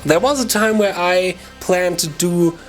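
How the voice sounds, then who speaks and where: A man with a German accent hosting a guitar lesson, talking to viewers in an informal, chatty way with halting self-corrections. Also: high-pitched. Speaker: guitar teacher and video channel host. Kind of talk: casual video lesson talk to viewers.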